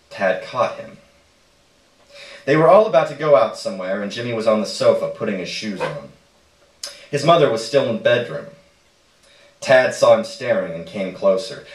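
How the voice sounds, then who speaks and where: A man reading a literary short story aloud at a live stage event. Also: lowish voice. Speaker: story reader. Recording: echoey room, distant microphone.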